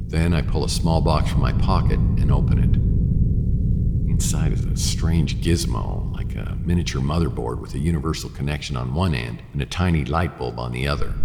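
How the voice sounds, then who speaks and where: A man playing a hard-boiled detective who narrates his own story in a radio drama, gravelly and measured, with brooding suspense. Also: background noise. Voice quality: gravelly, very deep. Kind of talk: first-person scripted radio drama narration. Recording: long reverb, music underneath.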